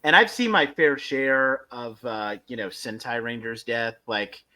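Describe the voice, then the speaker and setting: A man hosting a casual fan discussion, speaking in halting stretches with emphatic bursts. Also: mid-range voice, pushed and bright. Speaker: podcast host reviewing a fan audio drama.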